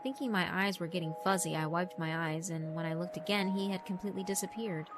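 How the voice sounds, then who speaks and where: A woman's voice: lowish voice, a little fast. A woman reading scripted first-person narration for a horror visual novel. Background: background music.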